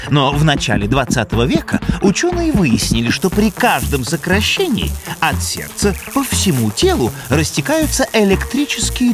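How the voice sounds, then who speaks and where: Casting: elderly know-it-all cartoon creature, very animated high voice, fussy lecturing manner. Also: male voice. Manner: formal, didactic, fussy wording. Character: pedantic older male cartoon animal scholar. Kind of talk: expressive educational cartoon acting.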